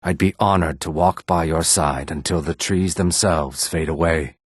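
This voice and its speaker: A male actor voicing a sly, courteous lizard-man townsman in a fantasy video game, with a husky, raspy voice and measured, formal phrasing. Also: deep voice, no echo, studio quality. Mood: tender. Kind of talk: scripted video game dialogue acting.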